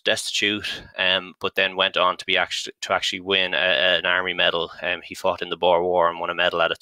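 A man in his thirties with a Dublin Irish accent, presenting a live webinar in a loose, chatty way. His voice sits low yet sounds very bright and forward, recorded dry and close. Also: no room sound, low-quality recording. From Ireland. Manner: chatty, frequent ums and restarts. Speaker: genealogy company content strategist presenting a webinar. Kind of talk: live-streamed online talk.

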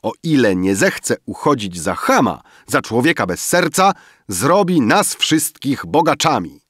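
A man voicing an excitable young friend in an audiobook, speechifying in an emphatic, cajoling and theatrical way. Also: mid-range voice, brisk, husky, dry recording, studio quality, pitched above the performer's own voice. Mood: theatrical announcing. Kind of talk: dramatized audiobook dialogue.

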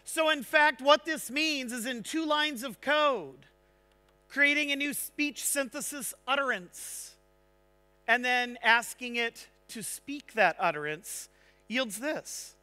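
A man speaking on a large conference stage, giving an energetic technical talk and checking in with the audience. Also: very high voice, slow, smooth, soft background music. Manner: energetic, engaging, asks the audience "right?".

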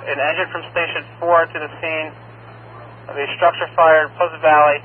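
A man's voice: fairly high pitch, little pitch movement, a little fast. A man working as an emergency dispatcher, reading out radio announcements in a clipped, procedural way over a two-way radio. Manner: procedural, clipped, reads numbers in lists. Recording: muffled scanner radio with faint noise.

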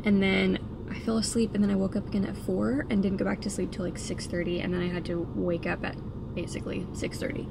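A woman's voice: medium pitch, flat delivery, fast talker. A young woman vlogging from a moving car on a road trip, rambling and chatty with plenty of fillers. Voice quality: vocal fry. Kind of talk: casual chatty vlog. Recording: car road noise behind the voice.

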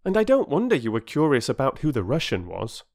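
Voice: mid-range voice, huge pitch swings, a little fast, darkish tone, pitched above the performer's own voice. A narrator voicing an anxious, forthright schoolboy from a children's storybook, protesting in a well-spoken way. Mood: frank. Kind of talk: children's storybook character reading.

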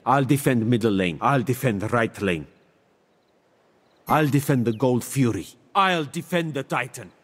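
A mystic seer god from a video game, grand and serene with a smug wisdom. He speaks formally and theatrically in measured phrases, and his voice rings out with a long reverb. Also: mid-range voice. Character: mystic male seer deity, serene, grandiose, smugly wise. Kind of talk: video game character voice lines.

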